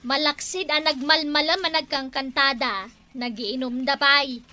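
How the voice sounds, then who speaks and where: A woman's voice: high-pitched, steady tone, a little slow, bright, forceful tone, faint music behind. A woman playing a bossy complainer who goads others, in an Ilocano comedy skit. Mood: complaining. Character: bossy complaining woman goading a meek captain.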